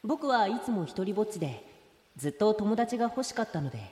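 A man's voice: sits high, quick pace, husky. A man acting an eager, polite and lonely turtle who gets flustered, in a comedic radio drama. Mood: wistful. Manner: polite, excitable, pleading.

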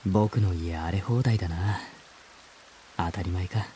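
An actor playing an earnest, wistful man who narrates his boyhood memories in a measured, reflective way, as in a radio drama. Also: sits low, warm tone, slight background noise. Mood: wistful, subdued. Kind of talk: scripted first-person radio drama narration.

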